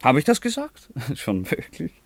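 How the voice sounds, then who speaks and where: An actor playing a worldly, sceptical but tender young gentleman lover in a classical radio drama, speaking in a measured theatrical way. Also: a little high, huge pitch swings, gravelly, no echo, heavily acted. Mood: startled.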